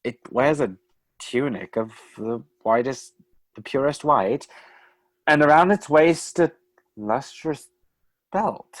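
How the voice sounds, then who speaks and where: Brief: unhurried radio-play narrator, exasperated edge, dry sound. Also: male voice, mid-range voice, animated, no room sound, poor audio. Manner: indignant, exasperated asides.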